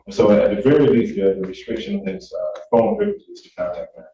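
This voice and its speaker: Echoey, halting male defense attorney in court. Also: medium pitch, very animated, creaky, dark tone. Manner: halting, hesitant, deferential. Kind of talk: pleading to a judge in court. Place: courtroom, picked up from a distance. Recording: echoey, distant, muffled courtroom audio with noise.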